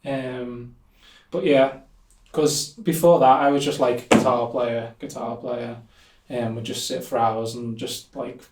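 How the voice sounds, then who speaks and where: A man's voice: mid-range voice, flat delivery, takes it slowly, noisy background. A young man with a Liverpool accent chatting casually as an interview guest, rambling with many fillers. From Liverpool, England. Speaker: musician guest. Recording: echoey room, somewhat distant mic.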